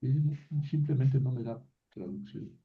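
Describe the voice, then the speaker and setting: A man teaching a class, slowly reciting word forms and thinking aloud as he explains. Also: medium pitch, lively intonation. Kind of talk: slow classroom lesson on grammar. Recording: dull lo-fi recording.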